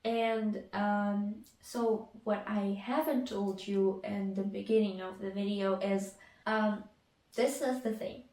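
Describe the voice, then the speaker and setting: Slow, halting woman vlogging. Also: mid-range voice, fairly even intonation, dark tone. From Ukraine. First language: Ukrainian. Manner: hesitant, many fillers and restarts. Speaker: artist vlogger. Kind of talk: casual get-to-know-me Q&A. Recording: echoey room, mic at a distance.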